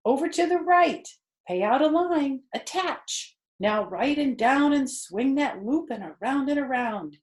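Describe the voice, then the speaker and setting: Storybook lady spider, played by a woman: high, sing-song, brisk self-encouragement, roomy sound. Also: expressive, darkish tone. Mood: busy, self-encouraging. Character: busy female spider cheering herself on at work.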